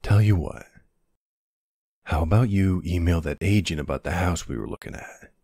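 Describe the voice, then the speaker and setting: A man playing a protective, gruff but tender older boyfriend in an ASMR audio roleplay, unhurried and plain-spoken, with a husky, gravelly voice. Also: low-pitched, mellow, dry recording, studio quality. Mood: tender, reassuring.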